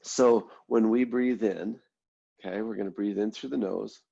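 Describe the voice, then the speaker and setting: A man leading an online mindfulness class, congested and a little nasal, explaining breathing steps in a relaxed way with many ums and "right?" check-ins. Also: fairly low pitch, normal speed, vocal fry, slightly rough, muffled recording. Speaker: mindfulness instructor.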